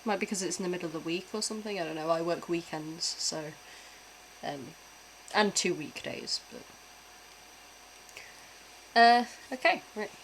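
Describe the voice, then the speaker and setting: A woman's voice: on the low side, normal speed, pauses often, slight background noise, rough recording. Chatty British woman streamer. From Britain. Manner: chatty, laughs often, swears casually. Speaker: streamer reacting to videos. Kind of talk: casual reaction stream chat.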